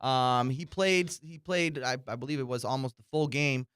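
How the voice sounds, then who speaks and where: A man chatting casually about sports as a co-host, in a halting conversational way. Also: medium pitch, fairly bright tone, clear, resonant tone, dry recording, studio quality.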